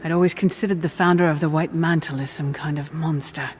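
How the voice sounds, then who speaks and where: A woman voicing a serious, principled commander in a video game, earnest and measured. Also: sits low, fairly even intonation, a little hiss or hum, lightly acted. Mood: somber, compassionate. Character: serious, principled commander hero, firm but compassionate. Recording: game music underneath.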